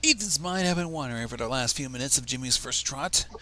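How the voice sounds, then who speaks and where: A man reading a story aloud on a livestream in an expressive, informal, theatrical and irreverent way. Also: medium pitch, fast talker, slight background noise. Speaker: fan fiction reader on a livestream.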